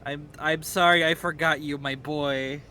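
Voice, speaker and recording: A man's voice: fairly high pitch, steady tone, a little slow, pushed and bright, slight background noise. A man from the US Midwest with a faint Midwestern American accent, chatting casually on a game stream in a rambling, self-interrupting way.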